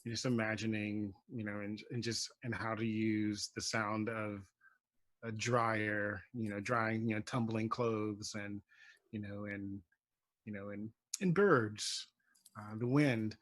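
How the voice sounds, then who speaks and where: A man with a fairly low, even-toned voice speaks on a panel. The sound is dry, and he is reflective, feeling his way through halting phrases. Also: no room sound. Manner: halting, searching for words, reflective. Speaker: playwright, panel guest. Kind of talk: unscripted panel discussion.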